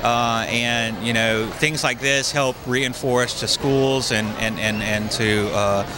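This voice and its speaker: A man's voice: mid-range voice, fairly even intonation, slow pace, background noise. A man giving a rambling interview with many fillers. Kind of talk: on-camera interview.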